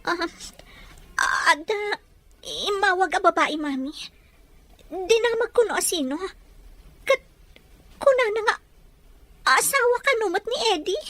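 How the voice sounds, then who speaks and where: A woman's voice: very high-pitched, lively intonation, a little slow, pauses often, a bit bright, no echo, heavily acted. A woman with an Ilocano accent voicing a young wife, betrayed and pleading through tears in a radio drama. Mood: worried. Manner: pleading, tearful.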